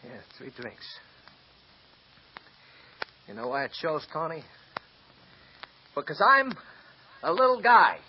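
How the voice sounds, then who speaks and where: A man's voice: a little high, sing-song, slow, long pauses, slight background noise. An actor playing a nervous, tipsy small-time male accomplice who stammers and wheedles, in 1940s radio acting. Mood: boozy. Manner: stammering, wheedling then snarling. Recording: dull lo-fi old radio recording.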